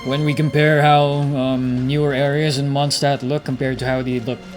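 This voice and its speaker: A man's voice: mid-range voice, little pitch movement, normal speed. Casual slangy man reacting to a game. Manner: slangy, laid-back talk. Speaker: video game streamer. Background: video game music.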